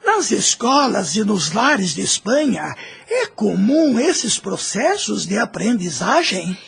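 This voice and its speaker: A man acts out a learned, inquisitive schoolmaster in a religious audio drama. His voice is very husky and crackles with creak, rising high as he speaks with formal, theatrical courtesy. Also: sing-song, takes it slowly, a bit bright, exaggerated voice. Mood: courteous, curious.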